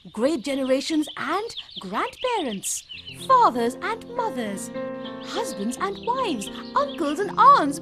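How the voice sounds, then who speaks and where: A woman narrating a scripted children's bedtime story in an expressive, deliberate way. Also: very high voice.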